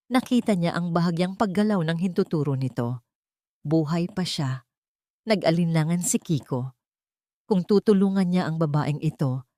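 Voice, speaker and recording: Female storyteller, lower register, dry close sound, emotional dramatic reading. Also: dark tone, dry recording. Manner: emotional, rising for tense moments. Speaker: narrator.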